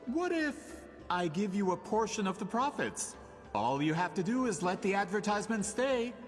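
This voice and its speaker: A man's voice: high-pitched, very animated, moderate pace, soft background music. An actor playing a greedy, scheming businessman villain in a video game, smug and condescending. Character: greedy scheming male printing tycoon.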